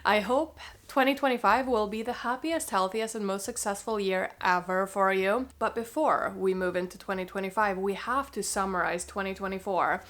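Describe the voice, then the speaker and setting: A woman's voice: medium pitch, moderate pace, fairly bright tone. A woman with a slightly nasal, congested voice from a cold, chatting casually and emphatically as she reviews things for her fashion vlog. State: has a cold. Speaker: fashion vlogger.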